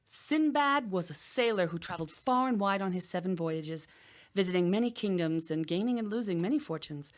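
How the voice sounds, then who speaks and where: A woman's voice: lowish voice, lively intonation, natural voice. An actress playing a clever, composed queen, deferential and soothing as she spins tales in an audio drama. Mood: artful storytelling. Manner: soothing, deferential, measured storytelling. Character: composed, clever young queen spinning tales to calm her lord.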